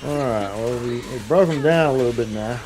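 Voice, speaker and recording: A man's voice: medium pitch, fairly quick, darkish tone, slight background noise. A man streaming a game with casual, slangy live commentary, often repeating his own phrases.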